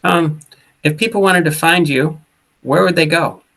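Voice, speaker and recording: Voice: medium pitch, a little slow, gravelly, fairly bright tone. An interview host asking questions in a casual, hesitant way, with many restarts.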